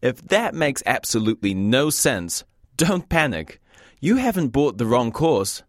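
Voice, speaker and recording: Lively British man hosting a studio lesson, joking, dry mic. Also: medium pitch, unhurried, no echo. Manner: wry, joking teacher. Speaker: language course host. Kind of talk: scripted lesson narration.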